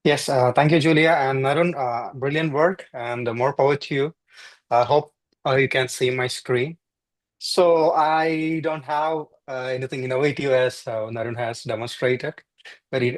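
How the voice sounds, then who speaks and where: A man from India gives a webinar presentation, explaining things step by step with frequent "uh" fillers. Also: mid-range voice. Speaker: panelist presenter.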